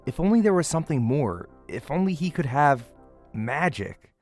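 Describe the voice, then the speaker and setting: Animated male reviewer over background music. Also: on the high side, normal speed. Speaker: host, anime reviewer. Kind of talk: scripted video essay narration, casual first-impressions review.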